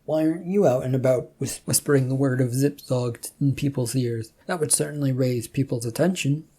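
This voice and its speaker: Narrator playing hesitant, mellow IT guy. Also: medium pitch, brisk, breathy edge. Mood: flustered. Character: evasive, put-upon male office IT technician.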